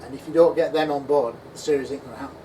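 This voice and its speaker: A man giving an animated conference talk in a pub function room, acting out imagined voices as he goes. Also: mid-range voice, racing. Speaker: conference speaker. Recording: distant voice with faint room noise.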